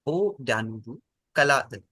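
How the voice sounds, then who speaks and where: A man hosting a quiz, reading questions out in a measured, precise, polite way. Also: medium pitch, animated, sharp tone, no echo, studio quality. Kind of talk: formal quiz question reading over an online call.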